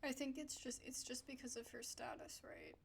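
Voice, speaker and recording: A woman's voice: high-pitched, steady tone, normal speed, gravelly, slight background noise. A woman giving chatty, informal commentary as she plays through a video game. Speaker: gaming video host. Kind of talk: casual game playthrough commentary. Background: game music.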